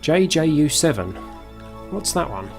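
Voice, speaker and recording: A man's voice: a little high, very animated, measured pace, vocal fry, music in the background. Southern English man doing casual game commentary. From Essex, England. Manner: unscripted, muttering to himself as he plays. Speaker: live streamer, gaming host. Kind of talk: casual live gameplay commentary.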